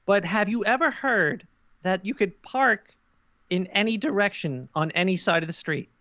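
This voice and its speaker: A man voicing a paranoid cartoon rat as a casual, halting podcast guest who repeats himself and swears. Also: sits high, pushed and bright, dry recording. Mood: casual, bemused. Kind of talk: improvised in-character podcast chat. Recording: dull, muffled lo-fi sound.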